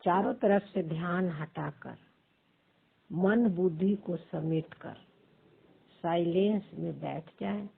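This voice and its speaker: A woman leads a guided meditation as a teacher, pausing between short, measured phrases in a husky, creaky voice. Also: fairly low pitch. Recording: thin phone-like sound.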